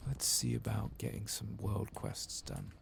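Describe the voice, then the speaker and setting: A soft-spoken man murmuring ASMR commentary as he plays a video game. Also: a little slow. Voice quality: deep, gravelly. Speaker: video game streamer and host. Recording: heavy background noise with game ambience.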